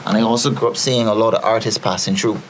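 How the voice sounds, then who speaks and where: Low-voiced Barbadian man telling stories. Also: steady tone, fast, a little hiss or hum, poor audio. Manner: rambling, full of fillers and repeated starts. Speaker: podcast guest. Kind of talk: casual storytelling chat.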